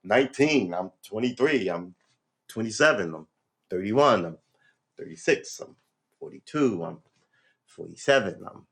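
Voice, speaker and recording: A man in his fifties with a somewhat low voice, talking in a loose, reflective monologue. He restarts often and leans on "you know" and "right?". When he gets animated his pitch jumps up sharply. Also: measured pace, vocal fry, long pauses. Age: 50s. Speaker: vlogger. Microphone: livestream setup.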